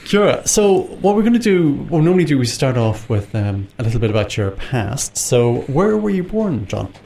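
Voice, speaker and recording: A New man you'd hear hosting a small community radio interview, ordinary mid pitch, a little ambient hiss around him. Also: big pitch swings, a little fast, dark tone. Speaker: radio show host. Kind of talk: informal interviewing. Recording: clear background room noise.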